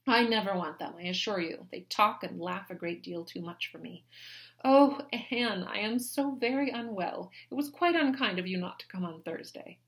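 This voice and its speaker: A woman reading a novel aloud, voicing a petulant, self-pitying young married woman who complains loudly. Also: mid-range voice, moderate pace, natural voice. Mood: aggrieved. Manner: whining, complaining, loud.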